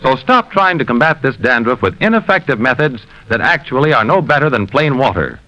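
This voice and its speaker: An American male announcer delivers a vintage 1950s radio advertisement. His voice is bright and forceful, with lively, persuasive emphasis and formal phrasing. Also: mid-range voice, brisk, rough recording. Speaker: commercial announcer.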